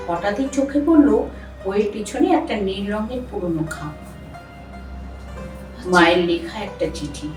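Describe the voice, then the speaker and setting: A woman playing a troubled, protective elder sister in an audio drama, with emotional, expressive delivery. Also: fairly high pitch. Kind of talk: Bengali audio drama acting. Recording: echoey room, distant mic, music bed.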